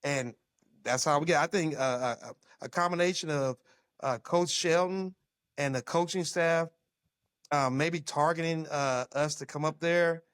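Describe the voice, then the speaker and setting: A man in his fifties, a former football player, telling old team stories in a lively, laughing way. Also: on the high side, a little slow, clear, resonant tone, dry recording, clean studio sound. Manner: animated storyteller with laughs. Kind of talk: casual reminiscing conversation.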